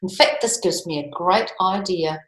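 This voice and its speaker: A woman voicing a gruff, demanding storybook king with a commanding, blustery manner. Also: fairly low pitch, moderate pace, fairly bright tone, roomy sound, close to an everyday voice. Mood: pleased, bargaining. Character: gruff, demanding, impatient king striking a bargain.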